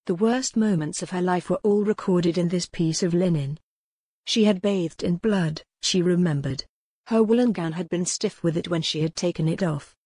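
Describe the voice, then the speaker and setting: A woman narrating a historical romance audiobook in a measured, dramatic storytelling manner. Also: lowish voice, dark tone, breathy edge, no room sound, clean studio sound.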